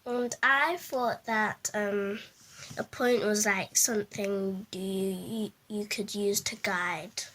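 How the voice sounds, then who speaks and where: A female speaker giving a casual testimonial in simple words, with a halting delivery. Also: mid-range voice, fairly even intonation, fairly bright tone. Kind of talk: spoken testimonial, casual interview answers.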